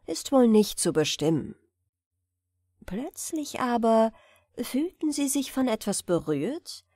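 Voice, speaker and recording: A woman narrating a fairy-tale audiobook in an expressive, deliberate storytelling manner. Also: on the high side, no room sound, studio quality.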